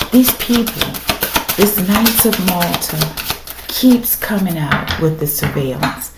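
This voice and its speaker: A woman with a raspy voice doing a casual tarot reading for her viewers, speaking emphatically and often checking in with "okay?". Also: on the low side. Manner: emphatic, repeats "okay?" to the audience. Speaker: tarot reader. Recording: echoey room with faint noise.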